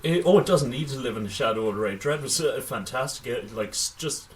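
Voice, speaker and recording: A man co-hosting a casual film news podcast, sometimes reading items out, with halting delivery and wry asides. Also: mid-range voice, expressive. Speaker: podcast co-host. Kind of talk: casual film news discussion, partly read out. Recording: voice slightly distant from microphone.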